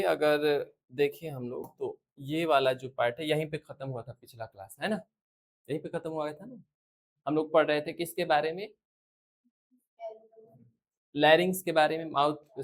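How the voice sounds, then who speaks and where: Indian school science teacher, classroom lecture delivery, medium pitch, repeats key questions and answers them for the students.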